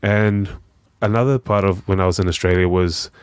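A young man with a New Zealand accent, talking as a podcast guest in a relaxed, rambling way full of fillers. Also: deep voice, normal speed, clean tone, no room sound. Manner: relaxed, rambling, lots of "you know" and "um", says "bro". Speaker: guest, charity founder. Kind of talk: casual podcast conversation.

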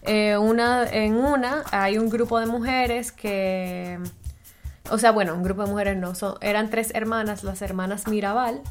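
A woman's voice: medium pitch, fairly even intonation, unhurried, soft background music. A woman with a Dominican accent, co-hosting a casual talk show and explaining a topic with frequent fillers and restarts. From Dominican Republic. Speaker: co-host. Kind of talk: casual radio chat.

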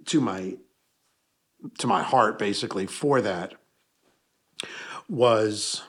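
A man's voice: lowish voice, slow, slightly rough. A man, an author and podcast guest, telling stories in a rambling way and correcting himself as he goes. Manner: rambling storyteller with self-corrections. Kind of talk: casual podcast interview.

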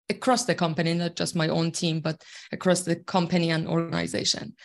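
A woman from Iran whose first language is Farsi, a tech team leader speaking as an interview guest, explaining earnestly from her own experience with many fillers. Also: mid-range voice. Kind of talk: interview conversation.

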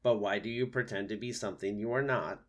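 A man voicing a gentle, naive female robot in a storybook, polite, measured and overly formal. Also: on the low side, steady tone, close to an everyday voice. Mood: matter-of-fact. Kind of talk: storybook read-aloud voice.

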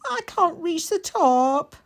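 Storybook little boy, played by a woman: high-pitched, excitable, stubborn, childish, exclaiming. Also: takes it slowly, full character voice. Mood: whiny. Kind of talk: storybook character voice in audiobook reading.

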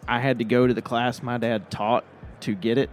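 A man's voice: medium pitch, little pitch movement, faint music behind. Easygoing male podcast host. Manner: easygoing, quick affirmations. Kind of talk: casual interview chat. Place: live stage at a trade expo.

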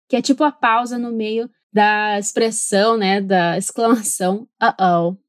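A Brazilian woman hosting a podcast lesson, explaining clearly and switching between languages. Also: on the high side, no room sound, clean studio sound. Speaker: podcast host and English teacher.

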